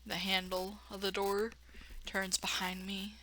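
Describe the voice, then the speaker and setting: A woman's voice: mid-range voice, monotone, unhurried, pushed and bright, a little hiss or hum, poor audio. A woman reading a game dramatically and adding casual, slangy, irreverent commentary as a let's play host.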